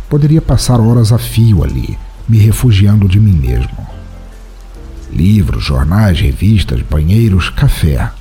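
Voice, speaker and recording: A man's voice: fairly low pitch, mellow. A Brazilian man playing a world-weary, broke bohemian writer, narrating an audio drama in a reflective, wistful manner. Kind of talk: first-person literary audio drama narration.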